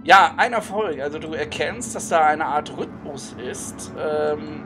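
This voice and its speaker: A game master narrating a tabletop horror role-playing session, haltingly, searching for words. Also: medium pitch. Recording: music behind the voice.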